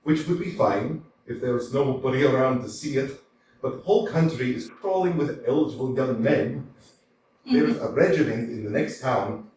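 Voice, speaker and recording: A man's voice: mid-range voice, lively intonation, moderate pace, a little mellow, lightly acted. Chatty, down-to-earth old servant, read by a man, distant and roomy with faint hiss. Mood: coaxing. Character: blunt older male servant, coaxing and folksy. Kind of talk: staged reading of a stage play. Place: small community theater stage. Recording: echoey stage picked up from afar.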